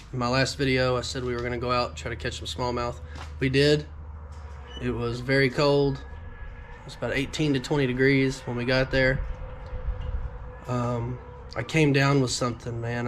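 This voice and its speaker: A man's voice: mid-range voice, a little hiss or hum. A young man, a little nasal from a recent illness, rambling casually to his viewers with lots of ums as a fishing vlogger. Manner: rambling, frequent ums and restarts. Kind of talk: casual talk to camera.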